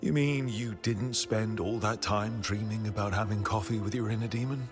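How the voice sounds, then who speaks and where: Man acting a calm male game hero, low voice, gentle, curious, conversational, lightly teasing, soft music behind. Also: normal speed, close to an everyday voice.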